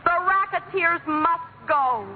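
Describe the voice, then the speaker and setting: An earnest schoolgirl declaiming. Her voice is very high and clear over a tinny, hissing old broadcast. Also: slow. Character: earnest schoolgirl newly made mayor, righteous. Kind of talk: 1940s radio sitcom acting.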